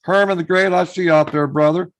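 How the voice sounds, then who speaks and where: A man with a hoarse voice, chatting casually on his live stream, greeting viewers by name and reading out their messages. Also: sits high, fairly even intonation, brisk.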